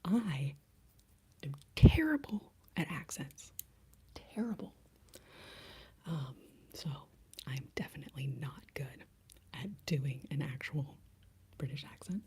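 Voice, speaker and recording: An American woman in her late thirties whispering in a breathy voice, chatting casually and playfully as an ASMR live streamer. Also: sits low, lively intonation, takes it slowly, long pauses, mellow, slight background noise. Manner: playful, self-deprecating. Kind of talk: whispered ASMR, casual rambling chat with viewers.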